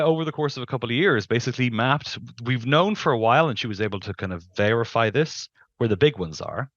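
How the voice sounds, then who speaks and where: A man's voice: medium pitch, fairly bright tone, no room sound, lo-fi sound. A middle-aged man, a scientist being interviewed, explains things informally and emphatically, rephrasing for precision on a headset mic. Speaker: planetary scientist, interview guest. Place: indoors on a video call.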